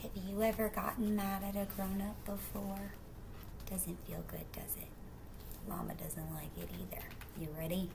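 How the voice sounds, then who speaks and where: A woman's voice: medium pitch, vocal fry, noisy background. A middle-aged woman reading a children's picture book aloud expressively, with casual asides to her young listeners. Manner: expressive, drawn-out rhyming phrases, questions to the listener. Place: small indoor room at home.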